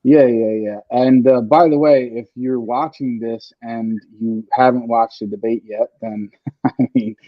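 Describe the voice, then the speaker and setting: A man's voice: medium pitch, steady tone, measured pace, dry recording. Man, podcast host, casual explaining, filler-heavy, dull mic, dry room. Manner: many fillers and restarts, explains step by step. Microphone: home computer mic over a stream.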